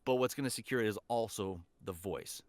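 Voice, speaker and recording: A man's voice: lowish voice, brisk, no echo. Encouraging man giving career advice, dry close sound. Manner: encouraging, emphatic with repeated key phrases. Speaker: voice actor and teacher, podcast guest. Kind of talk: conversational interview advice.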